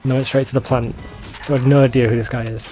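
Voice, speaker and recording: A man's voice: medium pitch, flat delivery, fast, mellow. Male gaming commentator, mid-range voice, casual and sweary, light game soundtrack underneath. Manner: informal, sweary. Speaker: let's play host. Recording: faint game music behind voice.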